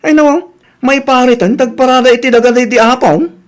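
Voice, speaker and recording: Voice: high-pitched, brisk, close to an everyday voice. An actor plays a defiant young adult in a Filipino comedy radio drama. The delivery is indignant and complaining, always ready to talk back. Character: indignant grown child, defiant toward a greedy uncle.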